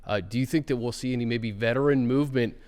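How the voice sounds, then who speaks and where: A man's voice: medium pitch, fast talker, a little mellow. A man hosting a football podcast, steering a casual chat with questions. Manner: guides the talk with questions. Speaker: podcast host. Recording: long hall-like reverb.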